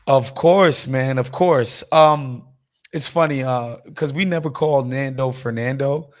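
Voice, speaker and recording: A man's voice: mid-range voice, a little slow. A man hosting a podcast in a casual, slangy, conversational way. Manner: informal, slangy, some swearing. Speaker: podcast host.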